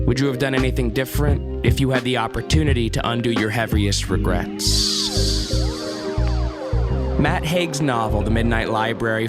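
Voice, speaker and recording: A man hosting a radio theater podcast, narrating from a script in a measured storyteller's delivery. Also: mid-range voice. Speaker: podcast host. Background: musical score.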